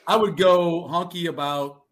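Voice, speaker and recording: A man's voice: on the high side, fairly even intonation, unhurried. A man in his fifties guesting on a sports podcast, wry and joking. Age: 50s. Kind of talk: casual podcast interview.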